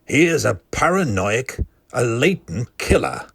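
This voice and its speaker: An actor playing a grave asylum doctor who narrates a grim tale in a solemn, ominous manner. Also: male voice, mid-range voice, sing-song, unhurried, vocal fry, husky, full character voice. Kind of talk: scripted dramatic narration.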